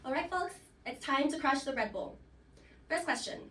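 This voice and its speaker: A woman's voice: sits high, lively intonation, normal speed, a bit bright, a little hiss or hum. A woman hosting a scripted game show with playful, teasing banter. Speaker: game show host of a student role play. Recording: echoey, distant mic, music behind.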